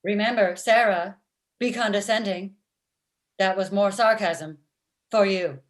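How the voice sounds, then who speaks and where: A woman acts out a sarcastic female robot in a comedy. She speaks in a stilted, deadpan way, bluntly and without sympathy, at a middle pitch. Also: unhurried, natural voice. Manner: slightly stilted, deadpan, like a digital assistant. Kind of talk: table read of a comedy script. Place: indoor room at home, video call. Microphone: computer mic on video call.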